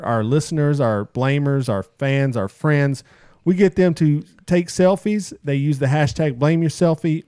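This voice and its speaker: A man's voice: mid-range voice, darkish tone, clean tone, no room sound, studio quality. A man hosting a casual podcast, upbeat as he reads out listener posts and plugs. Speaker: podcast host.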